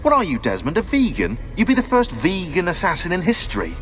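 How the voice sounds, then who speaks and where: A man's voice: high voice, wildly swooping pitch, fairly quick, faint music behind. A man with a British accent playing a sarcastic, eccentric, talkative researcher and conspiracy buff in a video game cutscene. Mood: mocking. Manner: sardonic, wry, talkative.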